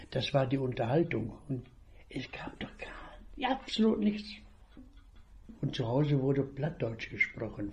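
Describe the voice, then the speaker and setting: Elderly man in his eighties, slow and halting. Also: mid-range voice, dark tone, dull audio. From northwest Germany. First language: Low German. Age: 80s. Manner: slow, halting storytelling with restarts. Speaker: retired veterinarian. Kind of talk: reminiscing about childhood.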